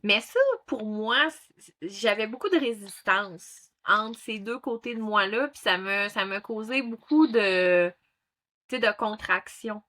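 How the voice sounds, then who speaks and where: A woman's voice: medium pitch, unhurried, bright, forceful tone. A woman in her thirties with a Québécois accent, speaking as an interview guest, with many fillers and restarts. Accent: Québécois French. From Quebec. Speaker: guest, ThetaHealing instructor. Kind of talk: casual interview talk.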